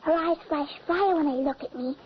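A woman's voice: high voice, moderate pace, close to an everyday voice. A woman playing a timid, frightened little girl in a 1940s radio drama. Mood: fearful, urgent. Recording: muffled, noisy old radio recording.

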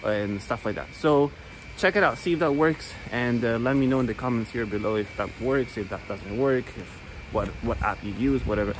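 A man's voice: medium pitch, moderate pace, hardly any pauses, a little hiss or hum, poor audio. A man in his thirties giving a casual saxophone lesson while walking outdoors on a rainy street, talking upbeat and chatty into a phone held at arm's length. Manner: chatty, upbeat, says "okay?" often. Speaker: saxophone teacher.

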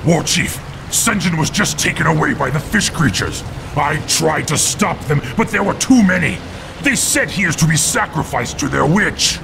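Brief: worried orc grunt, game cutscene, raised rough voice over music. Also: male voice, fairly high pitch, animated, moderate pace, poor audio. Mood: alarmed. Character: anxious orc grunt soldier reporting to chief. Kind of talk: video game character acting.

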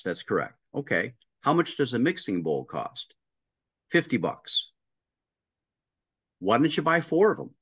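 A man's voice: on the low side, fairly bright tone, no room sound. A middle-aged man on a video call, telling work stories step by step and acting out the dialogue. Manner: explains step by step, acting out dialogue, frequent restarts. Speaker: restaurant consultant guest. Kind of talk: casual interview storytelling. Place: home room with a painting behind him. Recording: dry, muffled video call audio.

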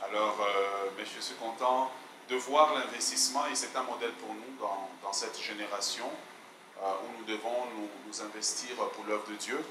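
A man in his forties with a mixed Canadian and Belgian French accent, a guest pastor opening his sermon in a measured, hesitant way on a handheld mic. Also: fairly low pitch, fairly even intonation. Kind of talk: sermon introduction. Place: large church hall, indoors. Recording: echoey church hall, noisy.

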